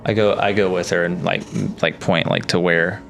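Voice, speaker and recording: A man playing a tabletop roleplaying game, casually describing what his character does in halting, repetitive bursts. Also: sits low, fairly even intonation, creaky, fairly bright tone, soft background music.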